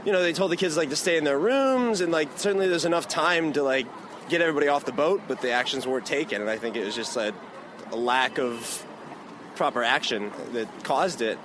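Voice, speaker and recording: A man's voice: a little high, a little fast. A man from Florida, answering a street interview casually with many fillers. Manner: casual, hesitant, lots of fillers. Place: city street outdoors. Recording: street recording with traffic noise.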